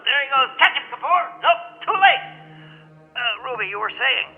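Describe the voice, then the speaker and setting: High-pitched, fussy expedition leader. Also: male voice, normal speed, narrow, tinny audio, faint music behind. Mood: excitable. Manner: excitable, fussy. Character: Taru, high-voiced fretful leader scolding his small sidekick. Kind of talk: radio play acting.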